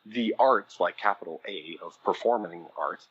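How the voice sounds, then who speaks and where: Husky low-voiced man explaining his craft. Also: moderate pace, no room sound, dull audio. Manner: thoughtful, explanatory, with fillers. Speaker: audio drama producer, guest. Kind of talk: conversational podcast interview.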